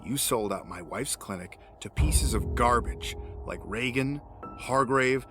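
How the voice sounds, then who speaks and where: A man's voice: medium pitch, unhurried, natural voice. Actor as a vengeful, menacing man over music. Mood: bitter accusation. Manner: menacing, sarcastic, accusing. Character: grieving, vengeful, cold-hearted man confronting a betrayer. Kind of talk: dramatic audio drama acting. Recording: music under the voice. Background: dramatic music.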